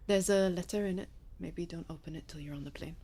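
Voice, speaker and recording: A woman's voice: fairly low pitch, quick pace, mellow, breathy edge. An actress playing a sentimental, heartbroken woman clinging to her lover in an audio drama. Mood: tender, awkward. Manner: emotional, pleading, echoing the other's words.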